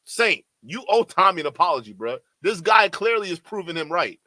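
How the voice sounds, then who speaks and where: A man's voice: high voice, very animated, brisk, sharp tone, no echo, rough recording. A man reacting live to a stream, exasperated and incredulous, talking straight to his chat. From Nigeria. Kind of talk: livestream reaction commentary.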